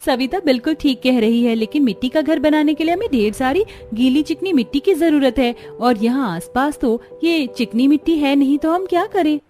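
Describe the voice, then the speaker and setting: Casting: a woman as earnest village homemaker for a cartoon tale, practical manner, slightly high voice over faint music. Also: expressive, moderate pace, few pauses, warm tone. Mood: problem-solving. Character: village women, mother-in-law and daughters-in-law, earnest and practical. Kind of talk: scripted cartoon story dialogue.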